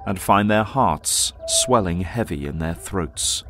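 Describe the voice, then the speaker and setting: A man narrating a superhero audio drama in a grave, measured, scripted storytelling style. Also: sits low, animated, music in the background.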